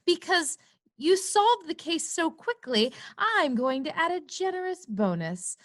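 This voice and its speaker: A woman's voice: extremely high pitch, big pitch swings, measured pace, exaggerated voice. An actress playing a naive, girlish rich daughter, a gushing and childlike client in a comedy radio play.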